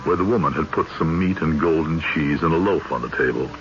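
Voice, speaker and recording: A man's voice: rumbling low, brisk, soft background music. An actor playing an earnest, humane judge who tells his story in formal phrasing in an old-time radio drama. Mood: solemn. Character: male Virginia judge, earnest, humane, easily astonished storyteller. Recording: muffled, noisy vintage radio recording.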